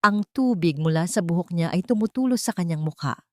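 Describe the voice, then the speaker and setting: A woman narrating a romantic audio drama in a slow, dramatic, emotional storytelling manner, close to the mic. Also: lowish voice, expressive, mellow, dry recording.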